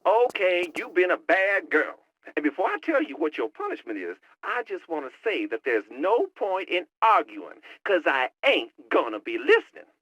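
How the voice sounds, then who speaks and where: Game sidekick: young, cocky, slangy wisecracker, high pitch, wildly swinging delivery. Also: male voice, huge pitch swings, moderate pace, fairly bright tone, no room sound, dull audio, exaggerated voice. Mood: smug, teasing. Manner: slangy, streetwise, teasing. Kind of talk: video game cutscene voice acting.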